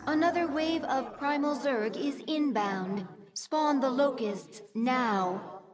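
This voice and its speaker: A woman voicing a dutiful alien aide in a sci-fi video game, making formal, measured battlefield announcements. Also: high voice, crowd noise. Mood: dutiful, alert. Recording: game music behind.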